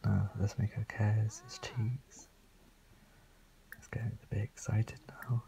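A young man, slightly croaky, rambling casually as he comments on his gameplay. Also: lowish voice, level tone, takes it slowly, dark tone, whispered, low-quality recording. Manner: rambling, chatty, frequent fillers. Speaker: let's play host.

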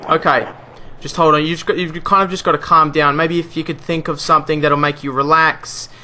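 A man's voice: a little high, level tone, a little fast, sharp tone. A man making a prank phone call, rattling off breezy, mock-official patter. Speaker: prank caller.

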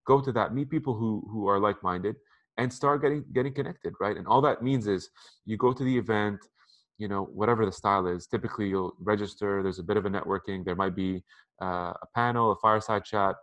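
A man's voice: on the low side, a little fast, fairly bright tone, lo-fi sound. A man in his thirties giving casual advice over a video call through a headset, talking conversationally and often repeating words as he goes. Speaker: interview guest. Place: apartment room by a window. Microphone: headphones on a video call.

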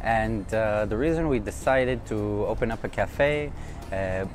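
Thirtyish man from Israel, low easy voice, chatty storyteller, street noise behind. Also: measured pace. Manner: fluent, relaxed storytelling with frequent uh fillers. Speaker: cafe owner. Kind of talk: casual interview to camera. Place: city street outdoors.

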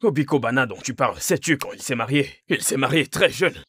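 A teasing, lecturing friend. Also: male voice, mid-range voice, brisk, a bit bright, slightly rough, no echo, clean studio sound. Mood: mocking. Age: 30s. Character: mocking, lecturing young male friend. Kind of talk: French film dub.

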